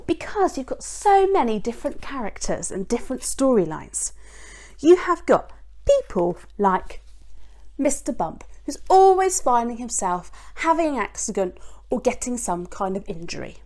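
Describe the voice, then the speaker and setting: A woman giving a children's church talk in an expressive storytelling way, speaking simply and directly to her listeners. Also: on the high side.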